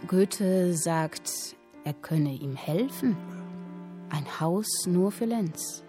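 A woman storyteller in a radio play, recites a literary tale with music clearly behind her. Her voice is on the low side and very slow. She shapes each phrase with measured, dramatic weight. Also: lively intonation. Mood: measured, wary.

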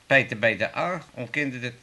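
A man's voice: medium pitch, moderate pace, pushed and bright. An actor playing a gullible, scheming, muddled old working-class father with an Amsterdam accent in a comic radio play. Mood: muddled, indignant. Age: older.

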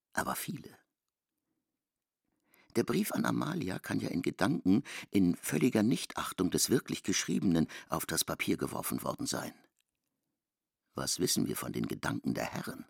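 An actor playing a brooding man in a German radio drama, speaking dramatically in a gravelly, husky voice. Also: low-pitched, wildly swooping pitch, moderate pace, long pauses, dry recording, clean studio sound, heavily acted.

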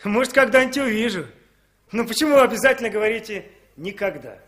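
A very high-voiced young lad in an old Soviet radio play. He is eager and naive, excitable and full of questions. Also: quick pace.

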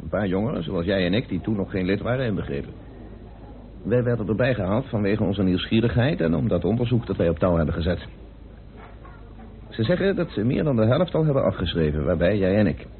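A narrow, tinny old radio recording carries a well-informed parliamentarian playing detective. His delivery is measured and deliberate, with a fairly low voice that moves expressively as he lays out his reasoning. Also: big pitch swings. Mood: explaining. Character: composed, well-informed male British parliamentarian turned amateur sleuth. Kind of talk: Dutch radio play acting.

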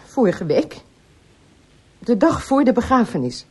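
A woman's voice: fairly high pitch, big pitch swings, unhurried, dark tone, husky, full character voice. An actress playing an anxious, guarded woman with a defensive manner in a radio drama. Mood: hesitant, troubled. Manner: hesitant, defensive. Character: anxious, guarded woman haunted by former lover. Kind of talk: Dutch radio drama acting.

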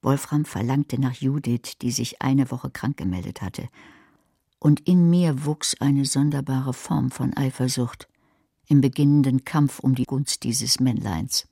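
A sardonic radio-play narrator with a dark, husky voice. She tells the crime story drily and enviously, gravelly and very close, in a dry studio sound. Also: a bass voice, measured pace, dry recording, exaggerated voice. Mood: sardonic, calculating. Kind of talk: scripted first-person radio drama narration.

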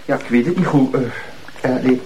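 An actor plays a junior policeman in a radio drama. His mid-pitched voice is hesitant and apologetic. Faint noise sits behind his unsure words. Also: fairly quick, darkish tone, close to an everyday voice, rough recording.

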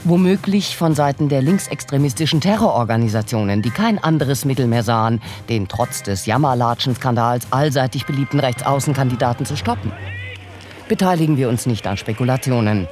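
Earnest newscaster man, mid-pitched, grave and formal radio drama bulletin with light music behind. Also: animated, a little fast, a little mellow, lightly acted. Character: earnest male news anchor reporting an attack.

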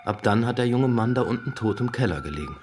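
A man's voice: medium pitch, animated, fast talker, darkish tone, slight background noise, natural voice. A man playing a matter-of-fact police investigator with a dry, plain-spoken manner in a German radio crime drama. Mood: grave. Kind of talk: German radio play acting.

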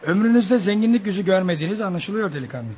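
Pompous, high-pitched boastful father. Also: expressive, quick pace, a little mellow. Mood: smug, boastful. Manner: pompous, theatrical. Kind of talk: Turkish radio theatre acting. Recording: noisy old radio drama recording.

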